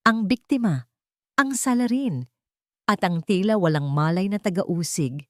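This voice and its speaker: A woman's voice: medium pitch, animated, a little slow, no room sound, studio quality. A woman narrating a scripted romantic drama as a storyteller.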